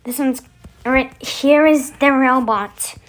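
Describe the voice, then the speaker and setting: Casual female channel host, higher register, unhurried delivery, introducing voice effects. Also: fairly even intonation, a crowd in the background. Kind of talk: casual demo of voice effects to camera.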